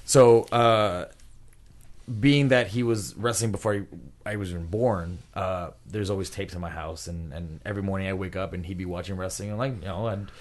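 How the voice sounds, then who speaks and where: A man's voice: low-pitched, moderate pace. A man in his thirties from Puerto Rico, a guest talking casually in an interview and laughing often. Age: 30s. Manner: relaxed, chatty, laughs often. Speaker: guest, pro wrestler and trainer.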